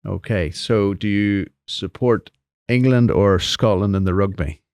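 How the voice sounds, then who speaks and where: Low-voiced man hosting a podcast, curious and friendly, dry close sound. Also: unhurried, a little mellow, clear, resonant tone, dry recording. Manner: friendly and inquisitive, with frequent murmured agreement. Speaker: podcast host. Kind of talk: podcast interview.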